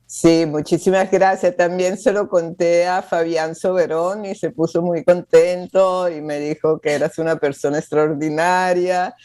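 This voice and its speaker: A woman from Venezuela, a guest in an interview, talking slowly with many hesitations. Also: lowish voice, flat delivery, mellow. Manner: slow, rambling, with frequent hesitations. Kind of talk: casual interview over a call. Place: New York.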